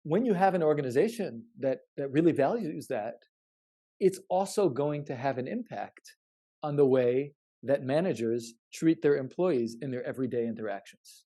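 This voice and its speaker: A man explaining his research in podcast conversation, halting and repetitive, often stressing points and checking in. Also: medium pitch, animated, dark tone.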